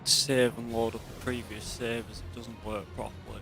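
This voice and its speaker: A man's voice: mid-range voice, level tone, normal speed, vocal fry. A man giving casual let's play commentary on a video game. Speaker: gameplay commentator. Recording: game music behind the voice. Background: video game music.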